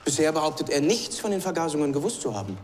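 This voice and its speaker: A young prosecutor in a period courtroom drama, high-pitched and formally accusing. His determined words ring out through a long, noisy hall echo. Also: sing-song, fast. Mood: stern, accusing. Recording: echoey courtroom film sound with noise.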